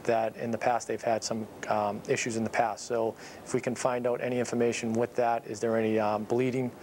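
A man in his thirties giving an informal training talk as an instructor, explaining things in a halting, matter-of-fact way. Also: medium pitch, little pitch movement, a little mellow, slight background noise. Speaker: paramedic instructor. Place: small dispatch office, indoors. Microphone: clip-on lapel mic.

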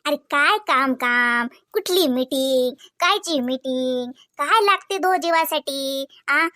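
A woman's voice: sits high, animated, slow pace, bright, forceful tone, no room sound, clean studio sound, exaggerated voice. A woman playing a chatty, dreamy, playful young housewife in a comedy cartoon, speaking with a Varhadi Marathi accent from Vidarbha in a dramatic, giggly manner. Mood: dramatic pleading.

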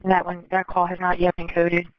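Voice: mid-range voice, completely flat delivery, fairly quick, dry recording, highs cut off. A police dispatcher talking in clipped, procedural radio language, heard through a police scanner.

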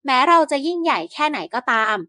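A woman narrating Aesop-style fables for bedtime in an even, measured reading. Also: high voice, no room sound, clean studio sound. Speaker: storyteller.

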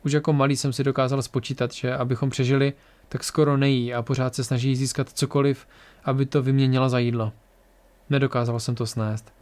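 A man voicing a young man who grew up poor, speaking quietly and earnestly. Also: medium pitch, flat delivery, close to an everyday voice.

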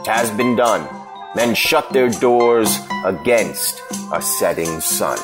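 A man's voice: fairly low pitch, expressive, slow pace, over music. A man playing a bitter, sardonic cynic, declaiming in a Shakespeare audio play reading. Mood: bitter scorn. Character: bitter, scornful male cynic railing at vanity.

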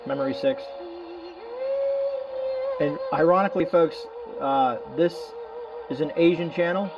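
A man's voice: on the high side, measured pace, muffled recording, music in the background. A man hosting a casual product demonstration indoors at home, explaining things in a rambling, folksy way. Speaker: host of a product review video.

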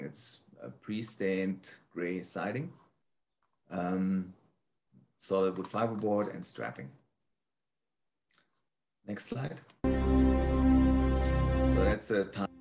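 A man presenting slides informally, speaking haltingly with frequent ums as he explains his work. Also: sits low, darkish tone. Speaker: presenter, prefab builder.

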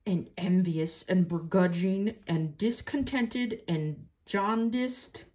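A woman voicing a supportive, doting father in a storybook, speaking in a deliberate, wordy way. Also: mid-range voice, dark tone, lightly acted.

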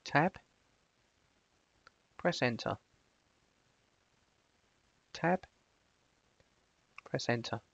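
A man presenting a screencast software tutorial, switching between casual explanation and clipped spoken commands. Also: medium pitch, animated, extremely slow, long pauses, breathy edge, dry recording, highs cut off.

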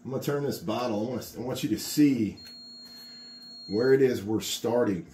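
A man's voice: medium pitch, normal speed, mellow, echoey room, a little hiss or hum. A middle-aged man, a contractor, giving a casual, encouraging how-to demonstration while he works in an unfinished garage workshop, recorded on a phone camera. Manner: chatty and encouraging, talks while working. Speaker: contractor teaching epoxy work.

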